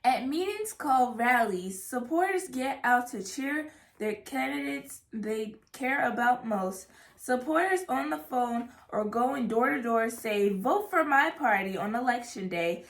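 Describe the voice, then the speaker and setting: A woman reading a rhyming children's picture book aloud in a measured, careful way. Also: fairly high pitch, lively intonation. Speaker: read-aloud reader. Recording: echoey room.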